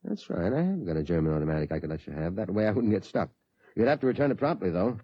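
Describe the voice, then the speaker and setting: A man's voice: sits low, very animated, quick pace, no echo. An actor playing a genial, easily flustered middle-aged father in a 1950s radio drama. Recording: dull, dry vintage radio recording.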